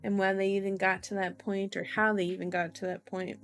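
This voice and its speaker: A woman with a medium-pitched voice hosts an ASMR reading. She moves between reading and casual chat in a playful manner, sometimes repeating words for fun. Music plays behind her voice. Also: level tone, a little fast. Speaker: ASMR host reading a novel aloud.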